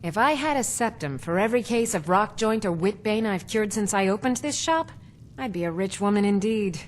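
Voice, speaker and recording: An actress voicing a proud, opinionated middle-aged alchemist shopkeeper in a fantasy video game. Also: female voice, mid-range voice, animated, brisk, a little hiss or hum, lightly acted. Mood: brisk, chatty.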